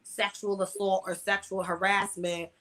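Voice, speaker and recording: Bright, forceful young American woman telling her story. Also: medium pitch, level tone, a little slow. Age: mid twenties. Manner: colloquial, emphatic with repeated words for stress. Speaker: interview guest, online video creator. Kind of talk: candid personal storytelling in an interview.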